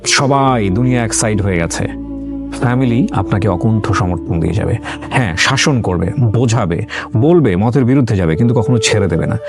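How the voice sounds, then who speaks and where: A man's voice: on the low side, lively intonation, moderate pace. A man hosting a life-story interview, reflecting at length on the guest's story and drawing moral lessons, mixing English words into his talk. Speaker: host. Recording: background music under speech.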